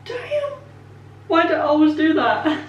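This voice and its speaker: A woman's voice: high voice, big pitch swings, unhurried, recorded from a distance, lo-fi sound, slight background noise. A young Scottish woman in her twenties chatting casually in a home kitchen as she bakes, rambling and self-deprecating with lots of ums and likes. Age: 20s. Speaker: vlogger. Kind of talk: casual baking vlog chat. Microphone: camera set up away from her. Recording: echoey kitchen, voice from off-mic.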